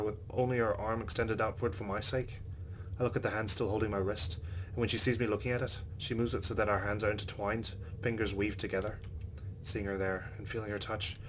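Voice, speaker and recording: A man's voice: on the low side, flat delivery, brisk, slight background noise, rough recording. A man hosting a let's play, reading visual novel narration aloud with his own reactions, over game ambience.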